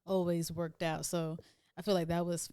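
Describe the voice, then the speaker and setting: A soft-spoken young woman, co-hosting a casual podcast chat with her best friend and laughing often. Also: lowish voice, level tone, moderate pace, clear, resonant tone, dry recording, clean studio sound. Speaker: podcast co-host.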